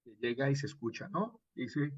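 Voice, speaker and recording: A man with a Colombian accent and a husky voice, lecturing expressively as a radio drama instructor and checking in with short rhetorical questions. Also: mid-range voice, animated, moderate pace, a little mellow, no echo, muffled recording. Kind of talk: animated workshop lecture with acted examples.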